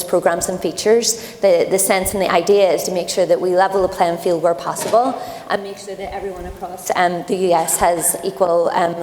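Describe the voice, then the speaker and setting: Quick-talking Irish woman in an echoey hall. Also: lowish voice, darkish tone. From Ireland. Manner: quick, upbeat, many ums. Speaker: panelist. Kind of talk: panel discussion. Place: conference hall. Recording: echoey hall, faint ambience.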